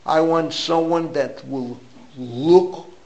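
Older man, American accent, slightly high gravelly voice, rabbi lecturing informally, emphatic, repeats key phrases, Hebrew terms mixed in. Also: slow pace, a little mellow, rough recording. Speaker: rabbi giving a Torah class.

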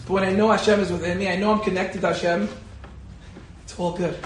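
A man, a rabbi, giving an animated Torah lecture and telling a personal story, repeating key phrases for emphasis. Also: sits high, fast, echoey room, a little hiss or hum, low-quality recording. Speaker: rabbi teaching a Torah class.

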